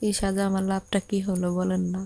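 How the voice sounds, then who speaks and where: A woman's voice: mid-range voice, monotone, fast talker, vocal fry, mellow, dry recording, lightly acted. A woman playing a lovesick young bride in an audio story, pleading insistently and plaintively with a Bangladeshi accent. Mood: heartbroken, plaintive. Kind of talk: Bengali audio story acted as a phone conversation.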